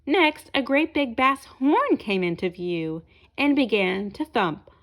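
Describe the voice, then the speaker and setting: A woman reading a children's picture book aloud, with expressive, deliberate phrasing aimed at young listeners. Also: fairly high pitch. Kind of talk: children's read-aloud narration.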